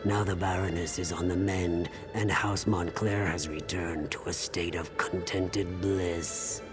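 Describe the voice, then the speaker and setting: A man playing a scheming, scholarly courtier in a video game, insinuating and deliberate, coolly fascinated by dark relics. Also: sits low, fairly even intonation. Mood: sly, coaxing. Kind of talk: dramatic game dialogue. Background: prominent music.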